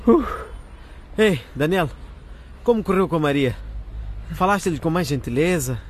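A man's voice: a little high, expressive, a little slow, a little hiss or hum. An actor playing an encouraging, cheeky teenage boy giving a friend advice in a radio drama. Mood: upbeat, teasing.

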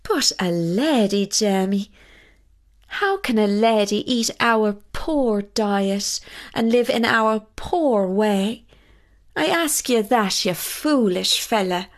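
An old peasant mother from a fairy tale, fretful and scolding, as a woman reader puts her on. She speaks slowly, at a middling pitch. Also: animated.

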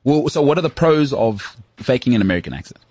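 A man's voice: mid-range voice, animated, darkish tone, no room sound. A man hosting a podcast, teasing and quizzing his co-host in casual chat. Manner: teasing, quizzing, quick asides.

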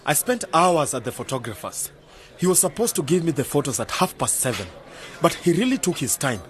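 An actor playing a skeptical, sober-minded young graduate man in a radio drama, arguing and questioning, with a husky voice. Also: a little high, very animated, a little fast. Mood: hurried. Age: young adult. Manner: questioning, argumentative.

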